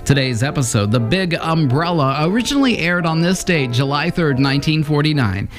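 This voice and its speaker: A man delivers scripted narration as the host of an old-time radio showcase. His pitch sits a bit above average. Music plays clearly behind him. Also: lively intonation, fairly quick. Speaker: host introducing vintage radio episodes.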